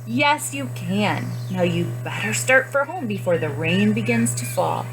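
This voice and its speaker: A woman's voice: medium pitch, animated, a little slow, background noise. A woman voicing a kindly, reassuring male eagle who guides children in a storybook.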